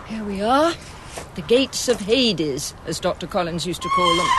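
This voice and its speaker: An actress playing a brisk, guarded gallery manager in a radio drama, clipped and formal. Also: female voice, medium pitch, big pitch swings, heavy background noise, natural voice. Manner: clipped, formal and faintly defensive.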